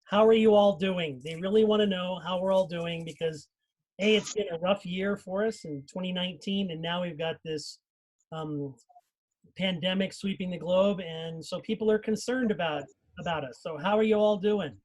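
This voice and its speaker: A man's voice: high voice, moderate pace, a little mellow, poor audio. A man hosting a casual group live stream over a video call. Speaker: host of a cast live stream.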